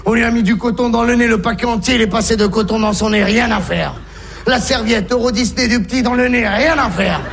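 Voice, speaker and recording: A man's voice: very high voice, fairly bright tone, heavily acted. A stand-up comedian playing a sentimental older music-hall producer who drags out his words in a sing-song, heard on a live stage recording with faint noise. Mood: mournful. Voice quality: gravelly, sing-song, drawn-out repetitions. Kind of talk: stand-up sketch before a live audience.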